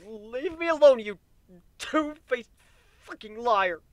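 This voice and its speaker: A man voicing a distraught young stallion pony who yells in wavering rage and swears. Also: very high-pitched, lively intonation, takes it slowly, no echo, pitched above the performer's own voice, exaggerated voice. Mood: furious.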